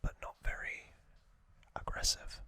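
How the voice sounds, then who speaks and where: Guy doing asmr, breathy whisper, really slow and soft, crisp bright sound. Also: no echo. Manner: slow, soft, deliberate phrasing with pauses. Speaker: host of an ASMR channel. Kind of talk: whispered ASMR trivia narration and reading aloud.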